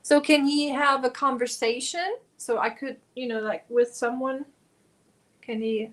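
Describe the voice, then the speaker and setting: A woman's voice: high-pitched, steady tone, measured pace. A woman, hosting a casual crochet livestream, chatting and reading viewer comments aloud as she works. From Germany. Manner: chatty, reads viewer comments aloud, mutters while counting stitches.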